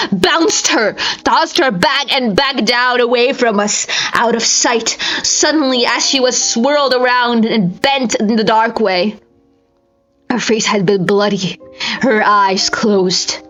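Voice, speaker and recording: A woman's voice: a little high, fairly bright tone, poor audio. A woman reading a horror story aloud in an intense, theatrical manner. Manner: intense, theatrical, with breathy whispers and strained cries. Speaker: amateur audiobook reader. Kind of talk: dramatic scripted horror story narration.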